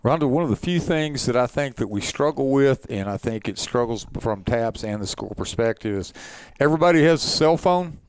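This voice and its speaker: A man's voice: mid-range voice, lively intonation, a little fast, clean tone, dry recording, rough recording. A man leading an information session as an organizer, informal but firm, direct and instructive. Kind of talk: informal explanatory presentation.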